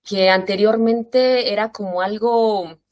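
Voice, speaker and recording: A woman's voice: mid-range voice, steady tone, takes it slowly, darkish tone, dry recording. A woman in her thirties hosts a parenting interview show, welcoming families and her guest. She speaks in a mid-range voice that sounds dry and close. Her manner is informal and welcoming. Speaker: host. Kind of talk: friendly interview hosting.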